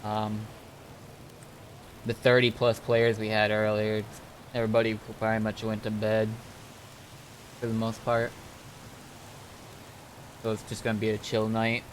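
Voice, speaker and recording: A man's voice: mid-range voice, a bit bright. Laid-back man streaming over noisy ambience. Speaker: game streamer. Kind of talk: casual livestream chat, recapping roleplay events.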